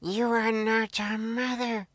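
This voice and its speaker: A man's voice: high-pitched, flat delivery, takes it slowly, fairly bright tone, dry recording, pitched above the performer's own voice. A man voicing innocent, wary young goat kids in a fairy tale, with an emphatic childlike delivery. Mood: wary, defiant.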